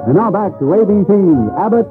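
A man's voice: sits high, lively intonation, brisk, music in the background. A man announcing a scripted promo in the polished style of 1940s radio. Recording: thin, phone-like old radio broadcast.

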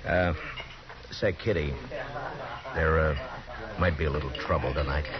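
An actor playing a stern, calm frontier lawman who talks tersely and firmly, in a 1950s radio drama. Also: a bass voice, measured pace, crowd noise. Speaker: narrator and lead. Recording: dull lo-fi 1950s radio broadcast with music.

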